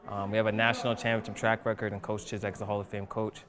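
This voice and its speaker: Low, quick young man over crowd noise. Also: little pitch movement. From New Jersey, USA. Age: young adult. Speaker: college basketball player. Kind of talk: self-introduction testimonial.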